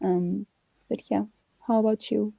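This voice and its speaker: A woman chats casually as co-host of a book discussion. Her voice is relaxed and dark-toned at a medium pitch, recorded dry. She phrases things tentatively, often hedging. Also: unhurried, gravelly, no room sound, low-quality recording.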